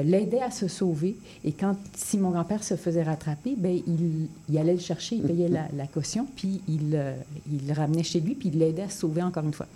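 Low mellow-voiced woman recounting family history. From Quebec. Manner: hesitant, many fillers and restarts. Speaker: poet guest. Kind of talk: radio interview. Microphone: studio microphone.

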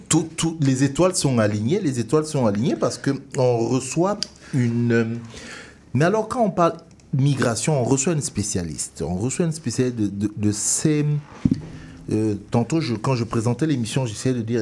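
A man hosting a conversational interview, talking in an animated, digressive way and circling back to rephrase. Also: mid-range voice, a little hiss or hum. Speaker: host interviewing a guest.

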